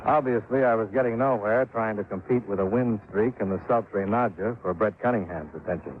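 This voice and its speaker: A man's voice: lowish voice, brisk, natural voice. A man playing a dry hard-boiled investigator. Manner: clipped, dry questioning. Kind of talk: 1950s radio drama acting and narration. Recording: tinny old radio broadcast with hiss.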